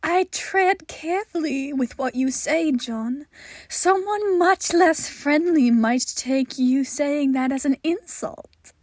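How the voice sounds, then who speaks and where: An eerie, high-voiced female creature from a horror story, put on by a woman reader. The phrasing is drawn-out and pointed, polite with a threat underneath. It is recorded very dry. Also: a little mellow, dry recording. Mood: veiled threat. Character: eerie female twin entity, courteous but threatening.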